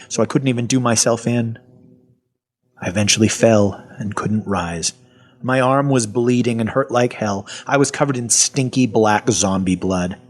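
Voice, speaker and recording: An actor playing a wry, self-deprecating survivor of a zombie apocalypse, telling his story in a folksy, sardonic first person. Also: lowish voice. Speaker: actor narrating an audio fiction story. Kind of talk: first-person storytelling, dramatic audio fiction.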